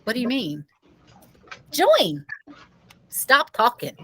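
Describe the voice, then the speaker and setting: Woman playing a curt, animated school principal. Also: a little high, slow, voice pushed up, exaggerated voice. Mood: incredulous. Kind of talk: children's audiobook dialogue.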